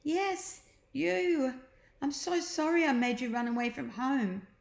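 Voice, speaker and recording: Woman playing an emphatic high-voiced big sister, echoey. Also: animated, takes it slowly, vocal fry, full character voice. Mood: apologetic. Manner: emotional, emphatic. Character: bossy but tender big sister, a young girl. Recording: echoey, roomy home recording.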